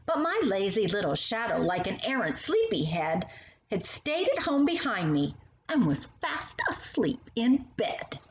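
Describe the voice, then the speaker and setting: A woman reading poems and stories aloud to young children in an expressive, animated manner, speaking directly to the listener. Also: lowish voice. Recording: roomy, muffled sound with faint noise.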